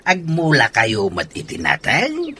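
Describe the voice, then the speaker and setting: A man's voice: fairly high pitch, huge pitch swings, slow, crowd noise, exaggerated voice. A man playing a fond elderly grandfather in a radio drama, with an Ilocano accent. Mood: fond, cheerful.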